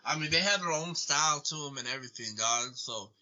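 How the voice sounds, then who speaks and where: A man's voice: a little high, a little fast, bright, forceful tone. A man hosting an interview in casual chat, slangy, filling his lines with "bro" and "dog". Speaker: podcast host.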